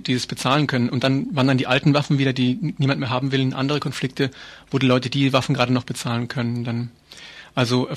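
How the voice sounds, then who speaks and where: A man being interviewed as an activist, explaining things earnestly and informally with hesitant phrasing. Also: medium pitch.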